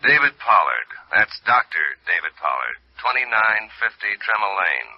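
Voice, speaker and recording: Businesslike radio drama man, lowish voice. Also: sing-song, normal speed, no echo. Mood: brisk, efficient. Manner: plain, efficient, informal. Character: businesslike, helpful male insurance office contact. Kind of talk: 1950s radio drama acting. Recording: muffled vintage radio recording.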